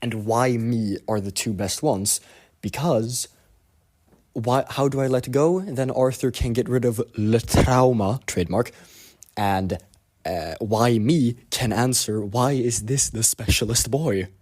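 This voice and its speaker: A man's voice: medium pitch, slow, darkish tone. A man giving a casual voice submission, joking and hesitant. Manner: joking, hesitant, mock-respectful. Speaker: listener sending a voice submission. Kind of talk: casual chat.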